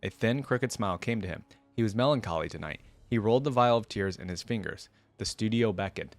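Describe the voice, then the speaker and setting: Man, slightly low pitch, reaction host, expressive story reading, casual asides, very dry sound. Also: brisk, no room sound, faint music behind.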